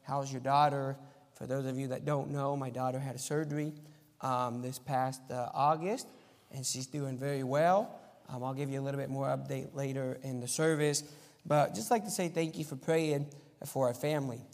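A man's voice: medium pitch, fairly even intonation, normal speed, a little mellow. A man who grew up in Argentina, preaching as a visiting missionary in an informal, plain-spoken way to a congregation. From Argentina, as a missionary kid. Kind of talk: sermon with anecdotes and prayer. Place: church sanctuary, live Sunday service. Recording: echoey church hall with long reverb.

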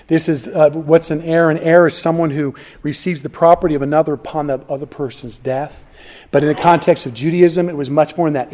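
A middle-aged man preaching a sermon, animated, acting out quoted lines, with frequent restarts. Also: a little high. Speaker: pastor.

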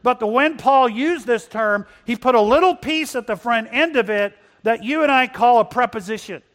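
Man, high-pitched voice, first language Spanish, pastor, sermon, asks the congregation questions. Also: normal speed, a bit bright.

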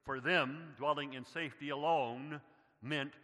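Bright-voiced preacher in a reverberant church. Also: male voice, a little high, fairly even intonation, a little slow. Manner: builds to loud, emphatic preaching, addresses listeners as beloved. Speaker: pastor. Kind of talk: sermon. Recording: long reverb of a church hall. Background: long hall reverb.

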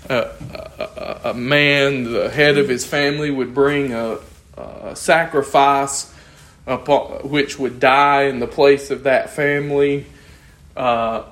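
Hoarse man teaching a church class. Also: medium pitch, fairly even intonation, takes it slowly, a bit bright. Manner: conversational, thinking aloud, asks the class questions. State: losing his voice. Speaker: Bible study teacher.

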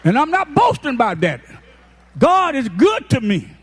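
A man in his eighties, a pastor, preaching a folksy, impassioned sermon that rises to shouted peaks. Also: extremely high pitch, wildly swooping pitch, normal speed. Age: 80s.

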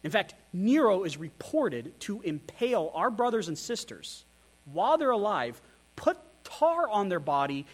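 High-voiced man preaching earnestly. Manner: earnest, candid, self-deprecating preaching with rhetorical questions. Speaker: pastor. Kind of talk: sermon.

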